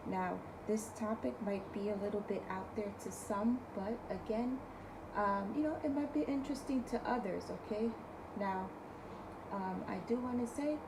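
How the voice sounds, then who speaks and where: New york lady tarot reader, losing her voice, rambles and keeps saying okay guys, noisy recording. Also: mid-range voice. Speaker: tarot reader and video host. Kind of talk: casual spiritual talk to camera.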